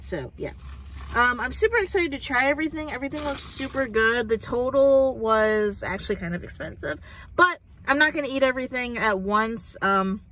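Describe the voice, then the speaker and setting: A woman's voice: on the high side, fairly bright tone, a little hiss or hum. A young woman in her twenties chatting casually through a food review, filling her talk with "like" and "um", recorded in a parked car. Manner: chatty, lots of "like" and "um". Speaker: food vlogger. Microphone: phone camera on dashboard.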